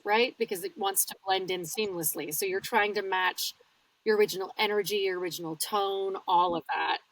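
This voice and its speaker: A woman's voice: medium pitch, dry recording, recorded from a distance, poor audio. A woman audiobook narrator, talking casually and explaining her work in an interview, laughing easily. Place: home studio.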